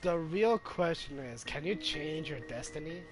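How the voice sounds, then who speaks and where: A man's voice: fairly high pitch, lively intonation, measured pace, over music. A man commentating casually on a game, explaining things with emphatic repetition. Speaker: gaming commentator.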